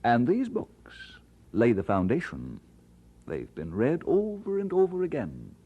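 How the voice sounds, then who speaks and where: An actor playing an earnest, playful and devoted young Scottish preacher in an audio drama, speaking expressively. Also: male voice, medium pitch, wildly swooping pitch, a little slow, breathy edge, narrow, tinny audio, exaggerated voice. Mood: earnest, firm. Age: young adult.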